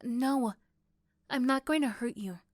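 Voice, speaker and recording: A woman's voice: sits high, unhurried, no echo, clean studio sound. A woman voicing a possessive yandere girlfriend in an ASMR roleplay, coaxing and pleading with tender pet names.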